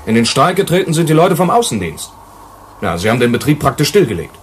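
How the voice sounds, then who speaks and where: An actor in a German radio play, playing an earnest colony manager who explains things carefully. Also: male voice, medium pitch, big pitch swings, fast talker, slight background noise, lightly acted. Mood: concerned. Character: earnest male colony manager explaining grim working conditions.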